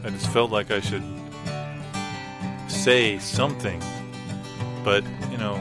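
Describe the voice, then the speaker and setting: A man playing a bewildered, hesitant performer in an improvised comedy monologue, talking haltingly and conversationally. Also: fairly low pitch, steady tone. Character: bewildered man recounting being stuck wordless onstage. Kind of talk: improvised spoken comedy monologue over music. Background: guitar music.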